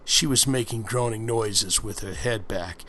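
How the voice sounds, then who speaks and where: A man's voice: lowish voice, measured pace, sharp tone, slight background noise. A man doing a casual ASMR-style book reading, breaking off into crude, slangy, folksy asides. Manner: crude, slangy, folksy commentary between passages. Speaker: host reading an erotic book aloud.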